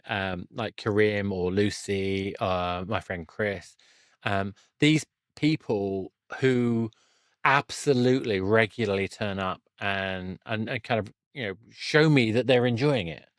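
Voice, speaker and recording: A man with a fairly low voice hosts a casual podcast interview. He talks haltingly, often restarting a thought midway. The sound is dry, with little room on it. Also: dry recording.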